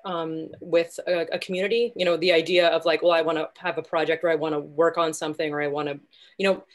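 A woman member of the group chatting casually and rambling a bit over a video call. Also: sits low. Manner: rambling, many likes and fillers. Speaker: community member. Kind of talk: casual chat.

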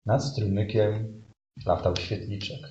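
A man's voice: lowish voice, fairly even intonation, slow pace, dark tone. A man narrating an improvised fairy tale as a storyteller. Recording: roomy, dull, noisy live stage recording.

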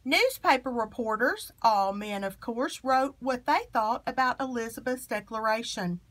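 A woman reading a children's picture book aloud with expressive, emphatic phrasing. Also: fairly high pitch, moderate pace. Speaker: storybook reader.

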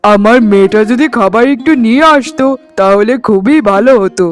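A man voicing a tired, gentle middle-aged farmer father in a cartoon, weary and fatherly. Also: sits high, brisk, soft background music, natural voice. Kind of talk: cartoon voice acting.

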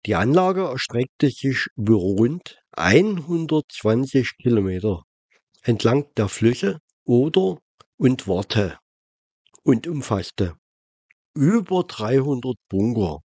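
Slow, mellow man narrating, dry recording. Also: mid-range voice, sing-song, husky, dry recording. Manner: measured, factual reading. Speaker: video narrator. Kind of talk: scripted documentary narration.

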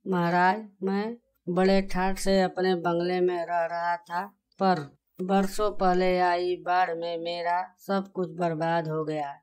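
A woman's voice: on the low side, little pitch movement, slow pace, a little mellow. A woman voicing a young male villager who craves comfort, earnest and respectful, in a cartoon moral story. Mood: dejected. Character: eager young male villager craving comfort, later ruined.

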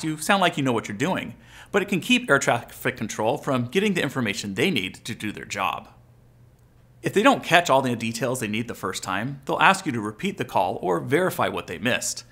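A man's voice: medium pitch, sharp tone. A man in his forties with an American accent, explaining and coaching as a video host in a casual instructional style. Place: furnished living room, indoors.

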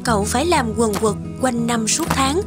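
A woman reading scripted narration for an animated folktale as the storyteller. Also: on the high side, normal speed. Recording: music behind the voice.

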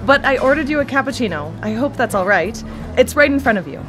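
A woman's voice: sits high, animated, fast talker, music in the background. A woman in a live radio drama playing a polite, curious date who laughs easily. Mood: friendly. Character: friendly young woman on a blind date. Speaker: supporting character in a radio drama.

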